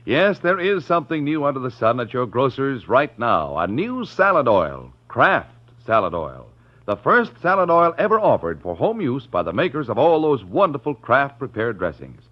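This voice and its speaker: A male announcer delivers a 1940s radio sales pitch. His mid-range voice sweeps up and down emphatically to sell, on a dull old recording. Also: sing-song, normal speed. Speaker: commercial announcer.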